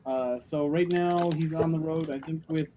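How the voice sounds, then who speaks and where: A man's voice: fairly high pitch, moderate pace, background noise. A man playing in a tabletop game, talking casually with lots of fillers. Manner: animated, filler-heavy. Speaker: player.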